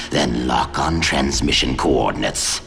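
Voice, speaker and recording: An actor voicing a cold, commanding alien robot warlord with a harsh, gravelly, imperious delivery. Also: male voice, extremely low pitch, pushed and bright, full character voice. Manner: deliberate, imperious.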